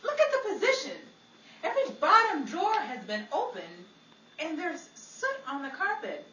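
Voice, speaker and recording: A woman's voice: extremely high pitch, huge pitch swings, normal speed, bright, forceful tone, recorded from a distance, heavily acted. An actress playing a shrewd, sassy woman sleuth in a theatrical 1940s radio comedy style. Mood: intrigued. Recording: echoey room, off mic, faint noise.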